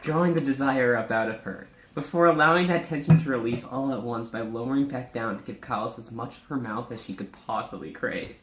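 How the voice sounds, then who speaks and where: A man reads fan fiction aloud in a casual, halting way, slipping between narration and chat. His pitch is average for a man, and the room around him sounds noticeably echoey. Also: fairly bright tone, slight background noise. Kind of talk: informal live story reading and casual chat.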